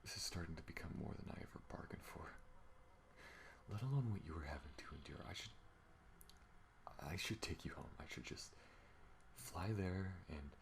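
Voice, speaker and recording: A man playing a protective spaceship pilot on the run in an intimate ASMR audio roleplay, speaking earnestly and haltingly. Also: sits low, vocal fry, slight background noise. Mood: conflicted, tender.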